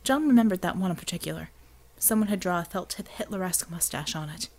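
A woman's voice: on the low side, fast talker, a little mellow. A woman reading a fiction audiobook as scripted narration.

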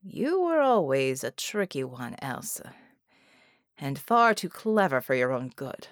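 A woman's voice: very deep voice, very animated, a little slow, no room sound, studio quality, voice pushed down, exaggerated voice. A woman voicing a sly male shapeshifting impostor in an audiobook, suave, familiar and casually teasing. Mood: amused.

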